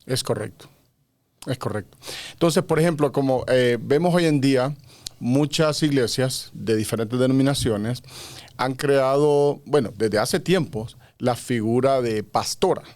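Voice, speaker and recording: A man's voice: mid-range voice, a little slow, a little mellow. A man from Honduras, a podcast guest who explains his views earnestly at length, with frequent fillers. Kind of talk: conversational interview.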